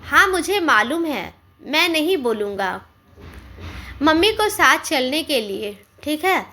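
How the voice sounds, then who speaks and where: Woman voicing a compliant grown son, high-pitched. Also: big pitch swings, takes it slowly, sharp tone, full character voice. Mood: curt agreement. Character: compliant married adult son, agreeing with his wife.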